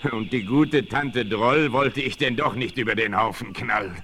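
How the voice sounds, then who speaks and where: An actor playing a towering frontiersman hero, commanding and gruffly good-natured, in a 1960s German western radio play. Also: mid-range voice, moderate pace, pushed and bright, natural voice. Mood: wry, calm. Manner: commanding, emphatic. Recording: 1968 vinyl record, faint noise.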